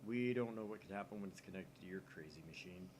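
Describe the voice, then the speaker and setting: A man's voice: deep voice, fast, darkish tone, voice pushed down, lightly acted. Visual novel role: young male science geek, dry and argumentative, read by a man, slightly noisy home recording. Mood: dry, bickering. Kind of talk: dialogue read aloud.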